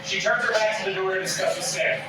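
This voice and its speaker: High-pitched man narrating a script. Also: fairly even intonation, fast talker, crowd noise. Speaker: stage direction reader. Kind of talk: scripted narration, live table read. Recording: echoey room, distant mic, clear noise.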